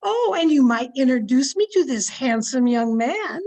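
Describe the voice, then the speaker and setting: A high-pitched, cheerful older aunt played by a woman in an old-time radio play. She chatters knowingly and insists gently, a born meddler in young people's romances. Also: lively intonation, moderate pace. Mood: gracious, chatty.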